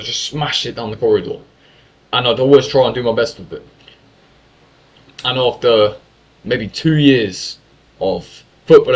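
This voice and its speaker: A man's voice: fairly low pitch, low-quality recording. A young man from England telling a story in a casual monologue, talkative and full of swearing and self-corrections. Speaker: YouTuber.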